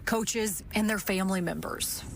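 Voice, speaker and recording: A female TV field reporter with an American accent delivers a live report from outdoors. Her voice is mid-pitched, with clear ambient and vehicle noise around it. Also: measured pace. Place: outdoors near an airport.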